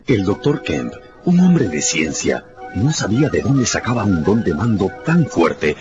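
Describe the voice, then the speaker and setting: Deep-voiced male radio drama narrator. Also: very animated, measured pace. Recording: dull sound with music underneath. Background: music.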